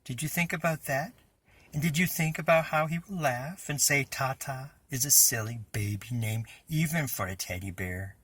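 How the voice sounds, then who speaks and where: A man's voice: medium pitch, moderate pace, a bit bright, husky, no room sound, pitched above the performer's own voice. A man voicing a teasing, needling sister in a children's storybook. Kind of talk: children's picture-book reading.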